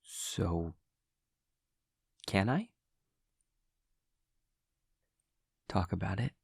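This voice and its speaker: A man playing an awkward, low-voiced, earnest demon classmate. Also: expressive, gravelly, darkish tone, husky, no room sound, clean studio sound, exaggerated voice. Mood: puzzled, earnest. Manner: formal, precise, over-explaining, hesitant pauses. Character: awkward, earnest, literal-minded male demon classmate and friend. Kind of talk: intimate audio roleplay acting.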